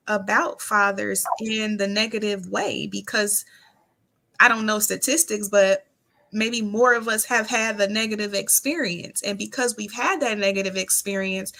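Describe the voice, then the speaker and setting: A woman's voice: medium pitch, sharp tone. An American woman talking candidly and casually as a guest, with an earnest, plain-spoken manner. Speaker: interview guest.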